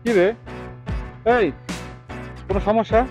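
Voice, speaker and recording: A woman's voice: mid-range voice, fairly even intonation, slow, a little mellow, faint music behind, voice pushed down, natural voice. Woman doing a mild older uncle. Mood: questioning. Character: older man, a curious passer-by uncle.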